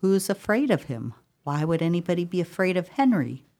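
A woman's voice: lowish voice, sing-song, a little fast, dark tone. A woman acting in a radio play as a stern, guarded sister with a formal, cautious manner. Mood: wary, hushing. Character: Caroline, stern, guarded sister hushing others.

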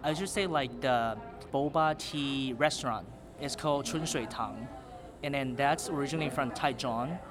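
A man's voice: mid-range voice, moderate pace, music in the background. Young man explaining casually. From Taiwan. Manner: casual, relaxed, with "like" fillers. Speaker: student association president. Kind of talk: interview answers.

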